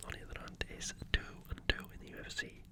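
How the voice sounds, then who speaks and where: ASMR host. Breathy, unvoiced whisper throughout, unscripted and informal, thinking aloud through a sports preview. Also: fast.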